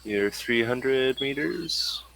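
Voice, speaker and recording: A man's voice: mid-range voice, lively intonation, very slow, creaky, a bit bright, slight background noise, rough recording. A man acting as game master, talking casually through a tabletop game.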